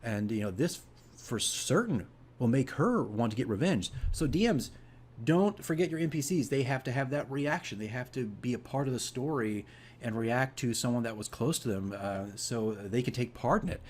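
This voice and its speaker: A man's voice: mid-range voice, animated, moderate pace, a little mellow, a little hiss or hum. A man hosting a casual video diary as a game master, explaining things conversationally and emphatically, often checking in with the listener.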